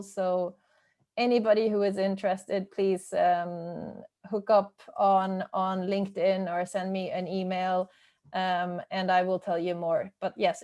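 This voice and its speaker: A woman's voice: medium pitch, a little mellow. Swedish-native woman speaking on a webinar. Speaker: webinar speaker, self-published author. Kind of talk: casual webinar talk. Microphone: video call.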